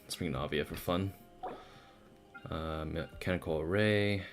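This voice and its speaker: A man's voice: low voice, unhurried. A man chatting casually on a game stream in a slangy, rambling, profane way. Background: faint game music.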